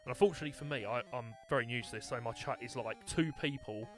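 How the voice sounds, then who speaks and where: A young man with a British accent talks through a live game stream in a loose, chatty way, full of fillers and quick self-corrections. His voice sits at an ordinary middle pitch. A faint game tune plays underneath. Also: soft background music. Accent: British English. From United Kingdom. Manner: chatty and animated, with lots of fillers and restarts. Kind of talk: live gameplay commentary.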